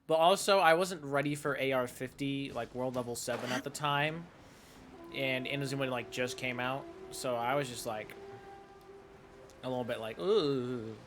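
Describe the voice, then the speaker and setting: Medium-pitched man streaming a game. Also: normal speed, noisy background. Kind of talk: casual gameplay commentary. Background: game music.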